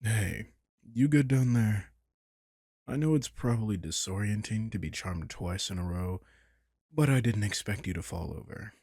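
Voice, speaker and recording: A man playing a possessive, sardonic vampire king in an intimate roleplay audio drama, teasing and condescending with a husky, breathy edge. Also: lowish voice, moderate pace, darkish tone, no echo. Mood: smug. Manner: teasing, condescending, casually profane.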